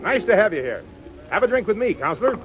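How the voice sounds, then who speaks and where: An actor in 1930s radio drama style, playing a tough, slangy gambling boss in love, with a blunt, forceful manner. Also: male voice, sits high, sing-song, fast talker, soft background music. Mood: genial. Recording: muffled vintage 1930s radio broadcast.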